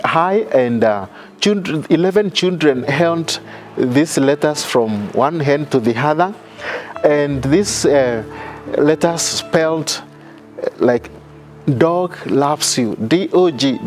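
A middle-aged man, a priest giving a measured sermon outdoors with many fillers and restarts. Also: mid-range voice. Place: outdoor garden altar.

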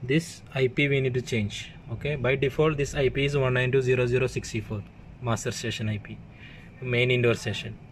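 A man's voice: medium pitch, level tone, brisk. A man giving a hands-on, step-by-step tech demonstration in short, plain instructions, recorded indoors on a handheld phone. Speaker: tech tutorial presenter. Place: indoors at an equipment workbench. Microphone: handheld phone camera mic. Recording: clearly noisy room ambience on handheld recording.

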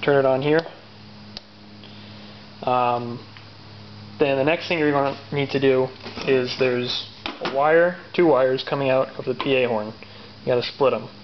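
A man with an average-pitched voice casually walks viewers through a DIY job step by step. He is matter-of-fact and pauses to find his words. The audio is dull camera-mic sound from a garage, with some light background noise. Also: fairly even intonation. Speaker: DIY tutorial presenter. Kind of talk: casual unscripted how-to explanation. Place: garage or workshop with machinery. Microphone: handheld camera's built-in mic.

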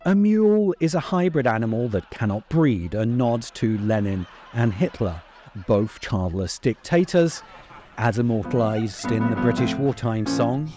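A man in his forties narrating a scripted video essay in a deliberate, emphatic way, close to the microphone. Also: medium pitch, animated. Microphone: close desk microphone. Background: music under the voice.

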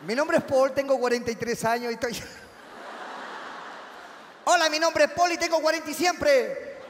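A man's voice: extremely high pitch, fairly even intonation, normal speed, a bit bright, a little hiss or hum. A Chilean comedian in his forties performing a live stage sketch in an eager, clumsy comic way. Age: 40s. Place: large outdoor amphitheatre stage. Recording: live festival stage with crowd and hall reverb.

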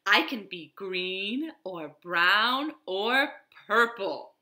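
A woman's voice: mid-range voice, lively intonation, very slow, pushed and bright, heavily acted. A woman voicing a proud chameleon who boasts about changing colors. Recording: roomy echo.

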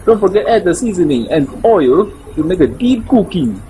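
A man's voice: sits high, big pitch swings, normal speed, mellow, slight background noise. A man hosting a casual recipe tutorial, chatty with simple phrasing and playful sing-song repeats. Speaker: cooking show host. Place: small indoor room.

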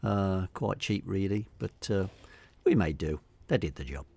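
A middle-aged man with an English accent giving a casual, unscripted voice-over walkthrough, hesitating and pausing often. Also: sits low, expressive, gravelly, darkish tone, breathy edge, dry recording. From England. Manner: hesitant, unscripted delivery with many pauses. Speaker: hobbyist video narrator.

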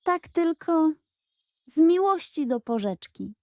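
Woman voicing a high-pitched, scheming little pug. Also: big pitch swings, slow pace, a little mellow, no echo, clean studio sound, full character voice. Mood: wheedling. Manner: pleading, dramatic, sweet-talking. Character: scheming, gluttonous, theatrical pug dog. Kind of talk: children's audio fairy tale.

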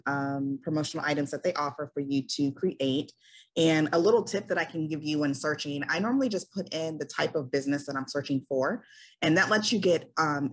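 A woman talking through a casual screen-share tutorial as a business coach, chatty with many ums, her voice tired and a bit scratchy. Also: deep voice.

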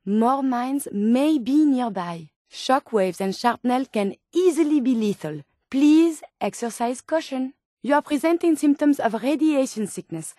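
A woman's voice: high voice, animated, unhurried, few pauses, dark tone, dry recording, studio quality. An actress voicing a polite, earnest, curious female medical robot companion in a video game, with formal, precise, quaint phrasing.

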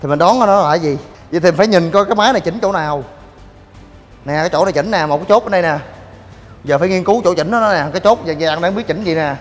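High-voiced joking man in a gym. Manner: joking, rapid banter with loud coaching shouts. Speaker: fitness vlogger. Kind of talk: energetic gym demo vlog. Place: large indoor gym. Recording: long gym reverb with music.

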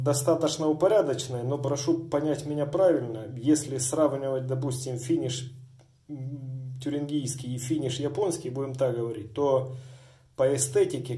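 Middle-aged man explaining, mellow and wordy. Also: mid-range voice. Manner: wordy, formal phrasing, many hedges and asides. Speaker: razor sharpener. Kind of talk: hands-on demonstration. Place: indoors at a workbench.

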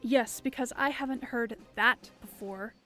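A woman's voice: a little high, measured pace, fairly bright tone, faint music behind. A woman playing a defiant, suspicious young island captive in a fantasy audio drama, curt and scornful.

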